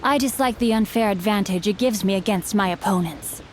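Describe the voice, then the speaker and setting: A woman's voice: medium pitch, fairly quick, slight background noise, lightly acted. A woman voicing a bookish, chivalrous teenage boy swordsman in a video game, speaking in a formal, eloquent, earnest way. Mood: composed. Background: game music.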